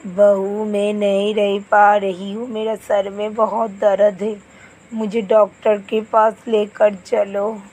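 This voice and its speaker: A woman voicing a nagging, old-fashioned middle-aged Indian mother-in-law in a scripted animated moral story, with dramatic, scolding exclamations. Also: mid-range voice, slow pace. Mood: pleading.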